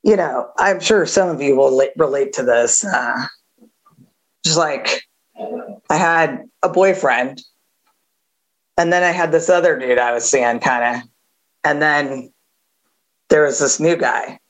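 A woman with a gravelly voice giving a candid recovery share, halting with many fillers and a wry edge. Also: low-pitched, rough recording. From Southern California. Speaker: AA speaker.

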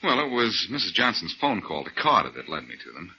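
An actor playing a confident, wisecracking amateur detective in a breezy, wry 1940s radio acting style. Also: male voice, on the low side, big pitch swings, fast talker, sharp tone, slightly rough, heavily acted. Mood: wry, playful. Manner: breezy, self-assured, wry. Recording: muffled 1940s radio recording.

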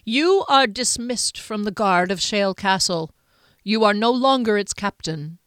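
A woman reading an audiobook voices a stern, powerful middle-aged male duke who speaks with measured, icy formality. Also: medium pitch, expressive, clear, resonant tone, no echo, clean studio sound, close to an everyday voice. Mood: formal judgment.

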